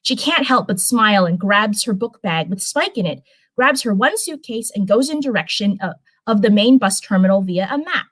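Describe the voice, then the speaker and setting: Chatty lady streamer, super animated up and down voice, reading stories n talking to viewers. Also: mid-range voice, normal speed, rough recording. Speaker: host of a live reading stream. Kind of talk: casual livestream chat and storybook narration.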